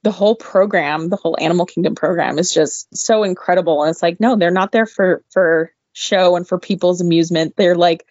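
A woman guest telling an animated story about her veterinary internship, laughing often and breaking into exclaimed asides, her voice a little worn. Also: fairly low pitch, brisk, hardly any pauses, no room sound. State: losing her voice. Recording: dull, dry lo-fi audio.

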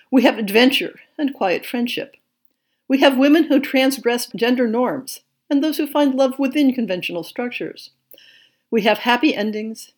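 A woman hosting a podcast, reading a scripted monthly update in a measured, enthusiastic way. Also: a little high. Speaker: podcast host.

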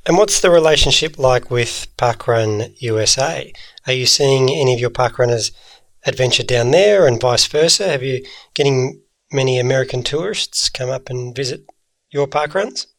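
A man's voice: mid-range voice, moderate pace, fairly bright tone, no echo. A man co-hosting an interview podcast, asking direct questions. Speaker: podcast co-host.

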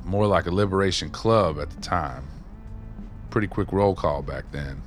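A man's voice: low voice, normal speed, soft background music, close to an everyday voice. An actor playing a streetwise, wry former maintenance man, telling stories in a folksy, colloquial way in a radio play. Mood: reminiscing. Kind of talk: radio play dialogue, reminiscing.

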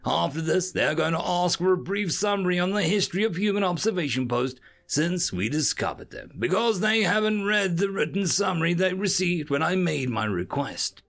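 A man's voice: sits high, animated, normal speed, gravelly, few pauses, a bit bright, pitched above the performer's own voice, heavily acted. A man voicing a self-assured, airy and curt alien senior observer in a science fiction story. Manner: firm, dismissive.

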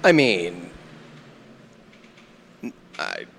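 A man playing a reluctant, flustered boyfriend in a mock radio commercial, halting and evasive, with echoey reverb. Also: low-pitched, wildly swooping pitch, vocal fry, fairly bright tone, heavily acted.

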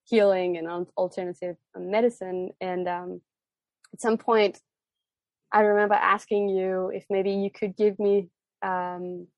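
A woman's voice: medium pitch, no room sound. A woman in her thirties from Denmark with a light Danish accent, hosting a podcast and chatting casually with lots of fillers. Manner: chatty, warm filler-laden storytelling. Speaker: podcast host. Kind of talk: podcast intro and casual interview talk.

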